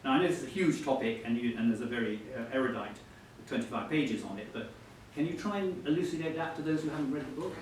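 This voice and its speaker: A man with a medium-pitched voice interviews someone at a live event in a polite, hesitant manner, feeling his way through each phrase. He sounds off-mic in a highly reverberant room with faint noise. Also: animated, fast. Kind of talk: conversational questioning before an audience. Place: indoor event hall. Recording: echoey hall, distant mic, faint noise.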